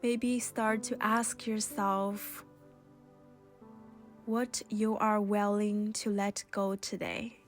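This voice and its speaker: Slow, even-toned woman guiding yoga over music. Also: mid-range voice. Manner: soothing, gentle, unhurried guiding with pauses. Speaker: yoga instructor. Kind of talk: guided yoga and meditation instruction.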